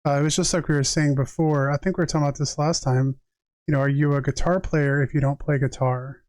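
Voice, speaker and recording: A man with a moderately high voice, recorded very dry without room sound. He works as a tarot reader on a livestream, shifting between reading passages aloud and casual, reflective chat addressed straight to his viewers. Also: steady tone, fast, darkish tone, dry recording. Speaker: tarot reader and live streamer.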